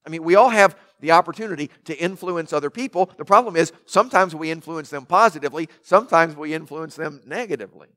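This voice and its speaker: A pastor preaching a sermon, emphatic, with rhetorical questions and building lists, and a naturally loud voice. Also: male voice, fairly high pitch, quick pace, hardly any pauses.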